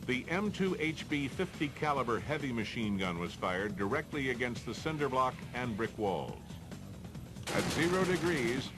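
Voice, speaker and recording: A man with an American accent reads formal, matter-of-fact instructional narration for a training film. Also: medium pitch.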